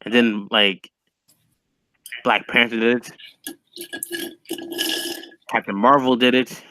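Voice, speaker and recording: A man's voice: mid-range voice, fairly even intonation, takes it slowly, bright, forceful tone, clean tone, no echo, rough recording. A young man chatting casually and with strong opinions as a stream co-host, full of "like" fillers. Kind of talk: casual chat over a call.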